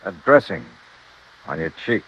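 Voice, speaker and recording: An actor playing a tough, driven insurance investigator in an old radio detective drama, with a clipped, forceful delivery. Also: male voice, deep voice, big pitch swings, measured pace, husky, heavily acted. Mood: suspicious, hard. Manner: clipped, hard-boiled, forceful. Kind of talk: 1950s radio detective acting with first-person narration. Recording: muffled, noisy vintage radio recording.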